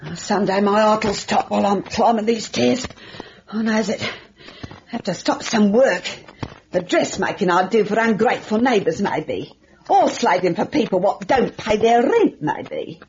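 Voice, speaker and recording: A woman's voice: mid-range voice, animated, unhurried, a little mellow. An actress playing a grumbling, put-upon London landlady in a radio drama, scolding and complaining with a working-class London accent. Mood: self-pitying. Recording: noisy, dull old radio recording.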